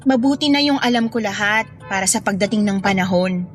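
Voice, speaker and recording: A woman's voice: medium pitch, fairly quick, close to an everyday voice. An actress playing an affectionate, playful young woman in love in a Filipino radio drama. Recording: music bed under dialogue.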